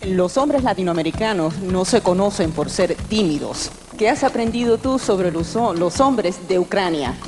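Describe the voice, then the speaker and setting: A woman's voice: mid-range voice, lively intonation, moderate pace, few pauses, over music. A woman interpreting for a pageant contestant on stage, hesitant and correcting herself as she goes.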